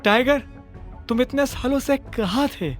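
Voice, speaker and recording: A man's voice: very high voice, measured pace, soft background music, pitched above the performer's own voice. A man voicing a sly, bossy manager in a secret gang, scolding and emphatic, in an audio drama. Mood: conspiratorial, animated.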